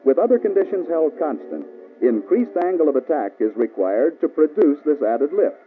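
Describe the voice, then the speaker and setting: An American man reading scripted instructional narration for a mid-century military training film, measured, authoritative and precise. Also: fairly high pitch, very animated, narrow, tinny audio. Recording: band-limited old film soundtrack with music.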